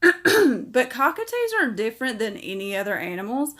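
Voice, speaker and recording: A woman's voice: on the high side, big pitch swings, normal speed, fairly bright tone. A middle-aged woman, chatting casually on a livestream as she reads viewer comments aloud and answers each one.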